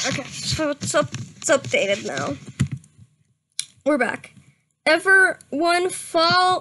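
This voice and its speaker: A woman's voice: high voice, a bit bright, rough recording. A young woman streaming a comedic dramatic reading of fan fiction, giggling and stumbling over misread words.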